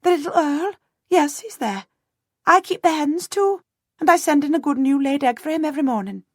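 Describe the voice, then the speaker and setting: A woman's voice: sits high, moderate pace, no room sound, clean studio sound, pitched above the performer's own voice, lightly acted. A woman voicing a young goose girl with a strong rural way of talking, eager and chatty. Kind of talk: audiobook character voice.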